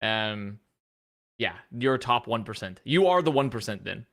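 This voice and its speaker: An American man co-hosting a casual podcast chat, joking with dry deadpan bits. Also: on the low side, measured pace, gravelly. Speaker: podcast co-host.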